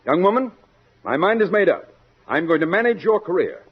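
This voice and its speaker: A grandiose show-business gentleman in a vintage radio comedy. His voice is very high for a man and swoops widely, husky and florid, on a slightly muffled broadcast recording. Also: very animated, fairly quick, full character voice. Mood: effusive, domineering. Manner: florid, theatrical, declaiming. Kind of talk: old-time radio comedy acting.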